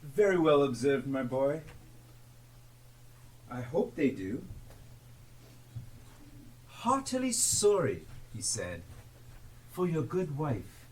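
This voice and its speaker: An actor playing a tender, earnest, grieving family father on stage, with an emotional, drawn-out delivery. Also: mid-range voice, sing-song, darkish tone, distant mic. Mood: fond, admiring. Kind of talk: theatrical live stage acting. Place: theatre stage. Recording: echoey, noisy live stage recording from afar.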